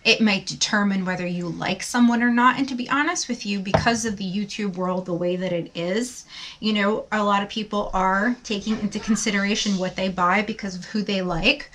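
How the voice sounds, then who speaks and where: Woman vlogger, bright and forceful, rambling casual chat to camera. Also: medium pitch, a little hiss or hum. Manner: chatty, rambling. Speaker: beauty vlogger host.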